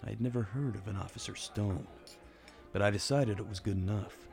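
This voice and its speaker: An actor playing a world-weary, hard-drinking detective in a noir radio play, with wry, understated delivery. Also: male voice, lowish voice, normal speed, vocal fry, a little mellow, soft background music. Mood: wry, brooding. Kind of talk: noir radio drama narration.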